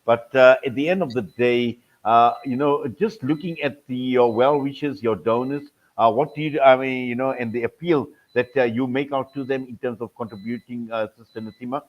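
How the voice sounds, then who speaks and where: A man with a South African accent hosting a live radio interview, speaking haltingly and wordily and often repeating himself. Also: medium pitch, steady tone, dull audio. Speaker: radio host.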